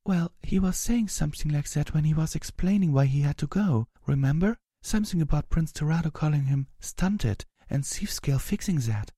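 A man voicing a timid, gentle female pony in an audiobook story, soft, breathy and hesitant. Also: fairly high pitch, expressive, moderate pace, hardly any pauses, no room sound, studio quality, voice pushed up. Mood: worried, hesitant. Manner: hesitant, meek.

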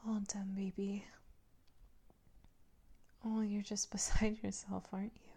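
A woman playing a domineering, cooing character in an intimate adult roleplay, mostly whispering in a breathy, coaxing, condescendingly tender manner. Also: medium pitch, level tone, takes it slowly, dark tone, exaggerated voice. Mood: soothing, possessive. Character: domineering, cooing woman who soothes and commands an adult man.

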